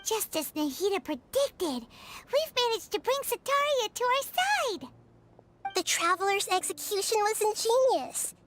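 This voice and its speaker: A woman voicing a small, perky, childlike fairy companion in a video game, excitable in manner. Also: extremely high pitch, unhurried, noisy background, exaggerated voice. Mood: pleased, eager.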